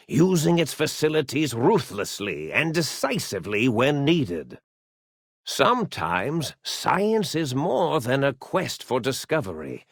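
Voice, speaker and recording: An actor voicing an eccentric, absent-minded old mad scientist in a video game, with a theatrical delivery. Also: male voice, medium pitch, vocal fry, slightly rough, no room sound, clean studio sound, exaggerated voice. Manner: rambling, stammering, theatrical self-corrections.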